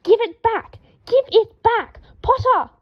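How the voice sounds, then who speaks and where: A woman's voice: extremely high pitch, animated, takes it slowly, muffled recording, pitched above the performer's own voice, full character voice. Woman acting a shrieking, fussy, short-tempered man. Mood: shrieking outrage. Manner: dramatic, wailing, snarling, swearing.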